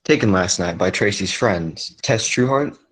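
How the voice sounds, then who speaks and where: A man playing a quiet, lovelorn but stubborn circus man in a 1940s radio drama, hesitant and repeating himself. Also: sits low, lively intonation, a little slow, slightly rough, full character voice. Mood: accusing.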